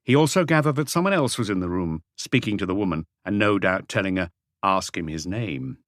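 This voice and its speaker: A male narrator reads a mystery audiobook. His voice is fairly low, yet the intonation moves widely through each sentence, and the recording is dry and close with no room sound. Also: lively intonation, quick pace, no room sound. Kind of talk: scripted narration of a mystery novel.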